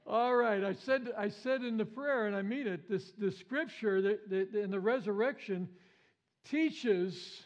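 A man's voice: high-pitched, measured pace, muffled recording. A man preaching an Easter sermon as pastor, with a big voice, emphatic and repeating his phrases. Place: church sanctuary.

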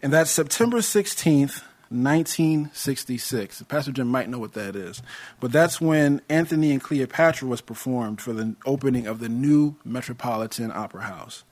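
A young American man preaching a sermon in a measured, earnest way, with touches of light humor. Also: medium pitch, expressive. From United States.

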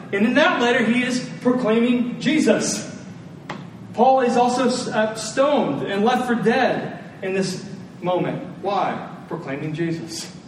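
A man delivers a sermon in a high-pitched voice. He leans on deliberate repetition and rhetorical questions for emphasis. The sound is very reverberant and picked up from a distance, with faint noise underneath. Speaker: preacher. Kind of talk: sermon to a live congregation. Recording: very echoey room, distant mic, faint noise.

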